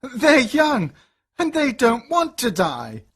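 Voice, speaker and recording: Reader playing a worried old dad, pleading, jumpy pitch, kinda breathy. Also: wildly swooping pitch, slow pace, exaggerated voice. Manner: pleading, anxious, with rising, lifted pitch. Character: worried old father of grown children. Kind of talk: storybook reading.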